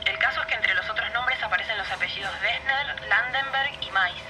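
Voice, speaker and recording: An actress with a Rioplatense accent playing a businesslike, cautious woman researcher, speaking in a hurried way over a phone call in an audio drama. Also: medium pitch, music in the background. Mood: serious, cautious. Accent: Rioplatense Spanish. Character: Cristina, brisk, cautious woman researcher friend. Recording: phone call with clear ambient noise.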